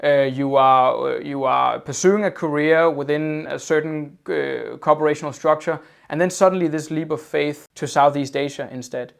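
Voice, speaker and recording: A man's voice: a little high, moderate pace, gravelly, hardly any pauses, smooth. A man hosting a casual interview over a video call, often repeating his opening words before talking on fluently. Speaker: podcast host.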